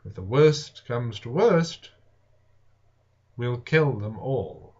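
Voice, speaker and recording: A dull, lo-fi storybook recording of a man playing a self-assured Victorian gentleman. He speaks in a mid-range male voice with pompous, measured emphasis, complacent and sure of himself. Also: medium pitch, animated, slightly rough, full character voice. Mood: smug reassurance. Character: confident, complacent Victorian gentleman reassuring his wife at dinner.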